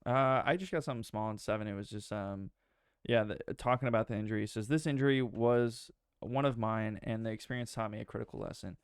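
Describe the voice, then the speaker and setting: A man talking casually in a book discussion, relaxed and hesitant, with frequent ums. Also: on the low side, quick pace, clean tone, no room sound, clean studio sound. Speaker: book club member.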